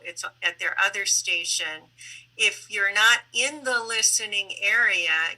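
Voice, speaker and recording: A woman hosting an online panel in a conversational, deliberate way, with some halting phrasing. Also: mid-range voice, creaky, sharp tone, poor audio. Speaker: host and moderator of a public online panel.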